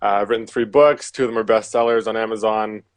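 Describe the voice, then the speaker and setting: A man with a lowish voice talks in a relaxed, conversational way, sprinkling in fillers as he answers interview questions as a coach. The sound is dry and close, with no room around it. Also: quick pace, clean tone, no room sound. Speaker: relationship coach, guest.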